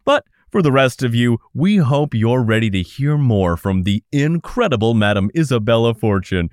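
Animated, dry-sounding telethon host man acting. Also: medium pitch, normal speed, dry recording, close to an everyday voice. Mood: showy, upbeat. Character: showy, upbeat male telethon host. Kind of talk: television host patter.

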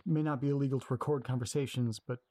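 A man's voice: mid-range voice, steady tone, fast talker, dark tone, no room sound, close to an everyday voice. An actor playing an anxious, awkward young man who hesitates and repeats himself in an audio drama. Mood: nervous.

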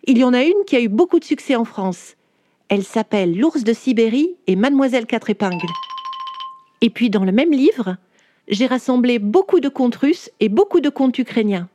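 A woman voicing a 19th-century woman writer who tells her own life story to children in a chatty, warm way, speaking directly to the listener. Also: on the high side, lightly acted. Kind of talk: first-person storytelling for children.

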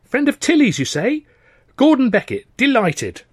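A man's voice: extremely high pitch, huge pitch swings, normal speed, breathy edge, no echo, studio quality, pitched above the performer's own voice, full character voice. A man voicing a kindly, fussy little old Punch and Judy man, eager and anxious in his chatter. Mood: fussy, friendly.

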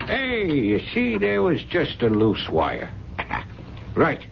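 A man's voice: a little high, huge pitch swings, slow, creaky, exaggerated voice. An actor playing a streetwise working-class repairman in a radio drama, colloquial and jokey, explaining things with relish. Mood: jovial, cautioning. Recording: dull, noisy 1970s radio broadcast.

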